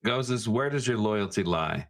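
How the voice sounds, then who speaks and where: A man playing a tabletop roleplaying game, speaking informally and haltingly as he improvises. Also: on the low side, animated.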